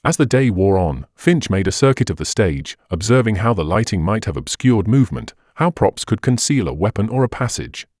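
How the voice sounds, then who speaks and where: Male mystery narrator: measured, dramatic, lowish voice, expansive intonation, dry studio-like sound. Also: sing-song, a little mellow, no room sound. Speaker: audiobook narrator. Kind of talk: scripted narration of a detective mystery.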